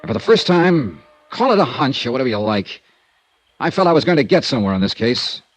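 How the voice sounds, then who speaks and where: A man's voice: medium pitch, sing-song, a little fast, no echo, soft background music. A man playing a hard-boiled male insurance investigator in a 1950s radio drama, with clipped, dry tough-guy delivery, narrating and questioning. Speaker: lead actor and narrator.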